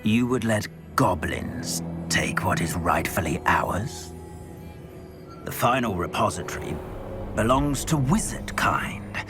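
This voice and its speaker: An actor playing a menacing, condescending male wizard villain in a video game, speaking in slow, whispered threats. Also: fairly low pitch, animated. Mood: persuasive. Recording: game audio with music.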